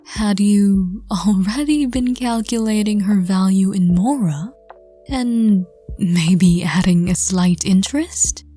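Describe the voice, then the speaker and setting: A woman reading scripted second-person story narration in a soft, gentle, hushed voice. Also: mid-range voice, slow pace, faint music behind. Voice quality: soft, breathy. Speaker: narrator and audio roleplay reader.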